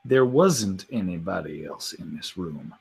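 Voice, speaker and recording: A man improvises as a player in a mystery role-playing game. His husky voice swings widely in pitch, and he takes his time, leaning into the drama as he moves between character and narration. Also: unhurried. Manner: animated, dramatic delivery.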